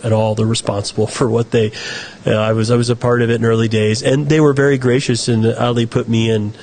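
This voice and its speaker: A man's voice: mid-range voice, little pitch movement, quick pace, darkish tone. An American man, a film director, answering interview questions in a loose, rambling way, often restarting and correcting himself. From United States. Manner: rambling, self-correcting storyteller. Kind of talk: casual conversational interview.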